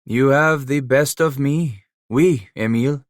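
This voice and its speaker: A man's voice: mid-range voice, a little slow, no echo, clean studio sound, voice pushed up, lightly acted. A man voicing an old, wealthy Frenchman, speaking in a tired, hesitant and formal way. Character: old rich Frenchman, weary, cautious.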